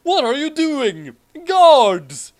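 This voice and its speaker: A man's voice: very high voice, sing-song, drawn-out words, darkish tone, voice pushed up, heavily acted. A man voicing a smug, scheming villain prince in a comic story reading. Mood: panicked.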